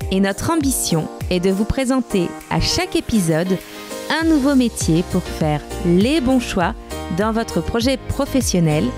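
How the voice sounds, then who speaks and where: Woman podcast host and interviewer, mid-range voice, measured delivery, faint music in the background. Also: mid-range voice. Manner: clear, measured, guiding. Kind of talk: scripted intro, then interview questions.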